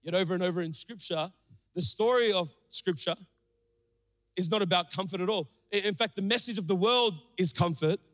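Energetic male preacher from Sri Lanka, high voice, playful asides, church hall reverb. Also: moderate pace. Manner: energetic, joking, calls out to the crowd. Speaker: pastor. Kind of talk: sermon. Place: church auditorium.

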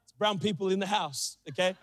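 High-voiced pastor preaching in a reverberant hall. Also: male voice, fairly quick. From Sri Lanka. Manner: energetic, joking, calls out to the crowd. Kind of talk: sermon. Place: church auditorium.

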